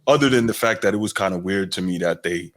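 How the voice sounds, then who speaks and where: A man with a low, deep voice, co-hosting a sports podcast. He speaks in easygoing casual chat, gracious and often trailing off softly at the ends of thoughts. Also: quick pace. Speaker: podcast co-host.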